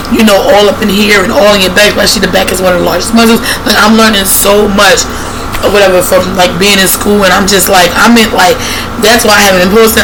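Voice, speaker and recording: A woman vlogging to her viewers in a casual, rambling way, hoarse and congested, full of fillers and restarts, coughing now and then. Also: mid-range voice. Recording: clear vehicle noise behind.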